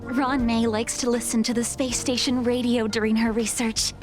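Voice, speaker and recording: High-voiced young female researcher acted by a woman. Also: level tone, normal speed, faint music behind, lightly acted. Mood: let down. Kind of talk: video game character acting.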